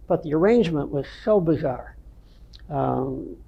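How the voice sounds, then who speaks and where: An older man from the North Shore of Massachusetts with a nasal voice, a veteran rock guitarist telling stories from his band days in an unhurried, rambling way. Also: a little high, expressive, gravelly, slightly rough, highs cut off. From North Shore of Massachusetts, USA. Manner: unhurried, rambling storytelling with many ums. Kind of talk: reminiscing interview answers.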